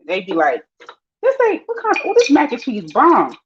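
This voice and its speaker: A woman with a Panamanian accent, chatting casually with her viewers while she cooks, lively and full of slang. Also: moderate pace, slight background noise. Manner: lively, chatty, slangy, swings high when excited. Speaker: vlogger. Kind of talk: casual live-stream chat while cooking. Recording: dull lo-fi audio.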